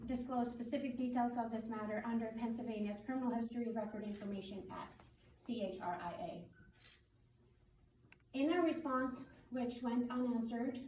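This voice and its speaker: A woman reading a prepared public statement as a community spokesperson, in a formal, firm and deliberate way. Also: a little high, warm tone. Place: public meeting room. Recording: roomy meeting hall, distant mic, faint noise.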